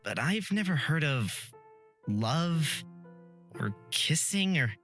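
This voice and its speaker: A bright, lively young man, as a woman puts him on, naive and curious about the world. He talks with puzzled sincerity, like a sheltered villager in a visual novel, and his pitch moves around a lot. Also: takes it slowly, faint music behind, full character voice. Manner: puzzled, earnest questioning. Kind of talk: visual novel character read aloud.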